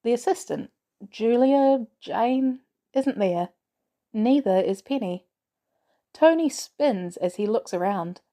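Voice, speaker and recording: A woman narrates fiction in a mellow, soft-edged tone. Her pitch moves in a lively way as she reads. The delivery is that of a scripted audiobook-style reading. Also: slow. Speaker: podfic reader.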